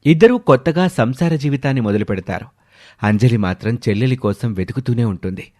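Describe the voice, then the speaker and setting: A man's voice: medium pitch, a little fast, a little mellow. A man narrating a scripted story as a storyteller, over background music.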